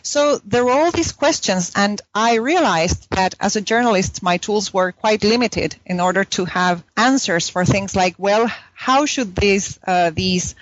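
A woman's voice: medium pitch, a little slow, hardly any pauses, dry recording, lo-fi sound. A woman from southern Chile, a native Spanish speaker with a Chilean accent, talking conversationally as an academic interview guest. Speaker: academic guest, philosophy professor. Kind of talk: conversational interview, telling own story.

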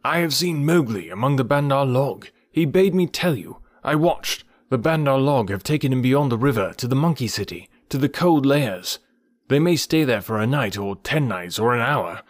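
Guy doing excitable little messenger bird for a storybook, high voice, calling out all eager. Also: moderate pace, dry recording, studio quality, lightly acted. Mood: eager, proud. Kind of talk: audiobook character voice.

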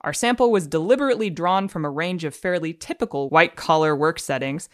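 A woman speaks as an expert podcast guest in a dry, close recording. She delivers academic language with precise, measured care and a deadpan seriousness. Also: deep voice, dry recording. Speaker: podcast guest, fluid dynamicist. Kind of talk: dramatic reading of academic text.

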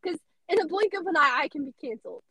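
A young woman with a high, squeaky voice, chatting casually and bubbly as a podcast guest. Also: lively intonation, fast talker, bright, forceful tone, rough recording. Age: young adult. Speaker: radio host guest.

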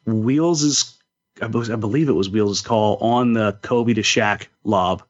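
Halting male sports co-host, slightly dull audio. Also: on the low side. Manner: halting, repeats words while thinking. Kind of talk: casual sports chat.

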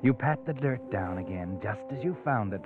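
A man's voice: on the low side, expressive, moderate pace, close to an everyday voice. Male actor as insinuating suspense narrator, tinny old radio. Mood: hushed suspense. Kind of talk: old-time radio drama narration, second person. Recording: tinny vintage radio recording. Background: dramatic music.

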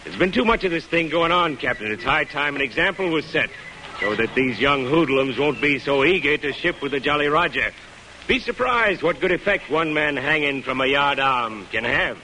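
An actor playing a stern, brusque, self-righteous police officer in an old radio drama. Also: male voice, on the high side, animated, moderate pace, close to an everyday voice. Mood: severe. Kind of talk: 1940s radio acting. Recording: old radio recording, faint noise.

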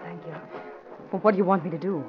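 A woman's voice: lowish voice, quick pace, soft background music, natural voice. A woman playing a willing, slightly anxious young helper in a classic radio drama. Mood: eager, tense. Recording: muffled old radio, faint background noise.

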